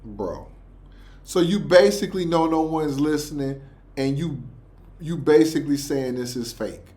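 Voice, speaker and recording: A man's voice: a little high, animated, a little slow, warm tone. A man hosting a reaction video, commenting casually in an informal, slangy and incredulous way.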